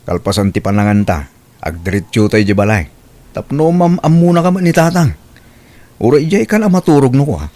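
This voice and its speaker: A man's voice: mid-range voice, very animated, a little fast, mellow, natural voice. A man acting an attentive, persuasive young boyfriend in an audio drama. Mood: coaxing.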